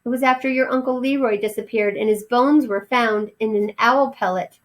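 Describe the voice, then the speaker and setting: A woman's voice: on the high side, fairly even intonation, normal speed, voice pushed up, natural voice. A woman voicing an anxious, protective mother mouse in a storybook, with a fretful, pleading manner. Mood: worried, pleading.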